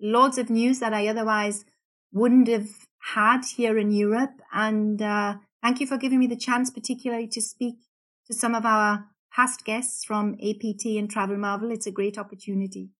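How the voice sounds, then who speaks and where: A woman in her fifties from England with a softened Geordie accent, a cruise director chatting as a podcast guest. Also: a little high, level tone, moderate pace. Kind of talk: podcast interview.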